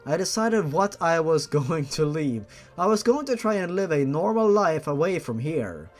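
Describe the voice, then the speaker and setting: A man's voice: on the high side, lively intonation, normal speed, faint music behind. A man reading fan fiction aloud as a host, with sarcastic, exasperated asides and casual swearing.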